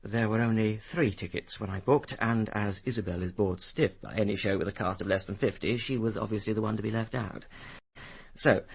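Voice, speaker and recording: An actor playing a sardonic, impatient upper-class young Englishman in a British radio drama, with a gravelly, husky voice. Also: on the low side, fairly quick, poor audio. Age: young adult. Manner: sardonic, articulate, dismissive.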